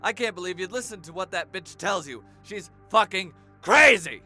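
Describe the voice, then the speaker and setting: Man acting a sharp-edged, volatile, cornered suspect. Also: high-pitched, lively intonation, moderate pace, faint music behind, exaggerated voice. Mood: furious, desperate. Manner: defensive, pleading, profane, agitated. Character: sobbing, volatile, frightened male murder suspect under interrogation. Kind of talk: audio drama acting.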